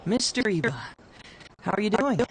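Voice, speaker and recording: A man's voice: high voice, expressive, normal speed, background noise. An actor playing a crafty, affable young male official, politely coaxing and playful in a video game. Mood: friendly. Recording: game music under the voice.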